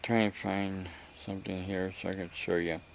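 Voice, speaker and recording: A man giving a rambling, casual explanation to viewers, halting and repeating himself as he goes. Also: sits low, flat delivery, gravelly, a little hiss or hum. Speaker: vlogger.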